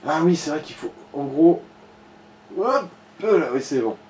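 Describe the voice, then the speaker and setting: Guy giving game tips, soft dark voice, sweary casual chat, bit of background noise. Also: on the high side, expressive, measured pace. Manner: colloquial, sweary, advising. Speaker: co-commentator guiding the player.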